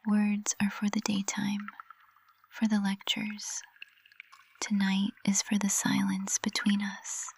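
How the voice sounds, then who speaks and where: A woman's voice: medium pitch, completely flat delivery, creaky, a little hiss or hum. A woman playing a lovestruck witch professor in an intimate ASMR roleplay, whispering tenderly and breathily, close to the microphone. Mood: soft adoration. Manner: slow, tender, intimate delivery. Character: lovestruck high witch professor, usually cold and composed, tender.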